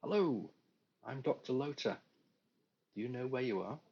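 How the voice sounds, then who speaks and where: A man voicing a brisk hospital doctor who asks calm, matter-of-fact questions. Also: medium pitch, vocal fry, husky, muffled recording, pitched above the performer's own voice. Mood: clinical.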